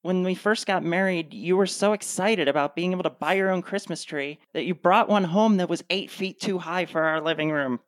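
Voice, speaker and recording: A woman's voice: fairly low pitch, normal speed, hardly any pauses, dry recording, close to an everyday voice. A woman acting a sardonic, fed-up wife. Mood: dry, resigned. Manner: dry, sarcastic. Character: sardonic, cutting wife fed up with her husband. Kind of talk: radio play dialogue.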